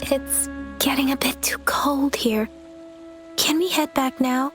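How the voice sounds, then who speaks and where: A young female outrider from a video game, earnest and empathetic. Her voice sits a little high and moves slowly, soft and pensive with hesitant pauses. Game music plays prominently behind her. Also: fairly even intonation. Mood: somber. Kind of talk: video game cutscene voice acting. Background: game soundtrack music.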